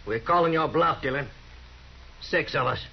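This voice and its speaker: An actor playing a rough, belligerent outlaw who taunts the marshal, in a 1950s radio drama. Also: male voice, on the high side, moderate pace. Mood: taunting. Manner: taunting, goading. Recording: dull lo-fi 1950s radio broadcast.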